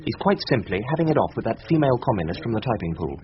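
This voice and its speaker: An actor playing an indignant, gossipy younger English barrister in a radio drama, pompous and insistent in manner. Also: male voice, on the low side, big pitch swings, rapid-fire, slight background noise. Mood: insistent gossip. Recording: dull radio recording over crowd chatter.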